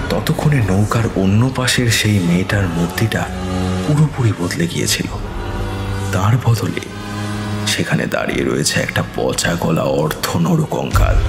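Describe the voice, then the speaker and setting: A man narrating a scripted horror story in a measured, suspenseful way. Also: low voice, vocal fry, reverberant. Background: eerie background music.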